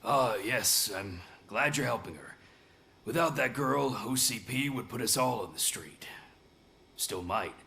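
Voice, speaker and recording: An actor playing a weary, sympathetic working man in a video game, with a gravelly voice. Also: mid-range voice, lively intonation, measured pace, sharp tone, heavily acted. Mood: grateful, weary.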